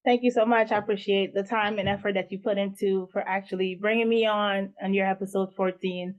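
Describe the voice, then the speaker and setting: A woman from Guyana talks earnestly as a guest on an interview show. She pauses often, filling gaps as she gathers her thoughts. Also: medium pitch, steady tone, highs cut off. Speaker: guest, event founder. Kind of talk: casual interview talk.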